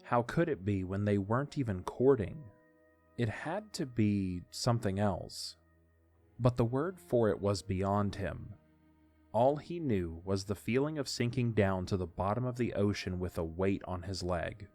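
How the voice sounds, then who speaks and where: A man's voice: lowish voice, expressive, a little slow, a little mellow. A man reading scripted story narration as the narrator of an audio drama. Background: faint music.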